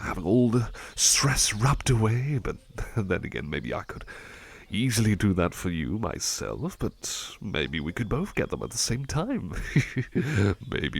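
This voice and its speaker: A man playing a devoted, polite butler in an ASMR roleplay, eager and gently fussy, murmuring hesitantly and repeating himself reassuringly. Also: lowish voice, gravelly, slightly rough, full character voice. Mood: bashful, playful. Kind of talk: ASMR roleplay, soft personal chat.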